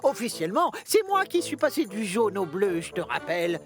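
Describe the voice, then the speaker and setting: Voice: mid-range voice, sing-song, normal speed, music in the background, lightly acted. An actor playing a cheeky, mischievous young twin engine in a French cartoon dub, teasing with feigned innocence. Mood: playful, eager.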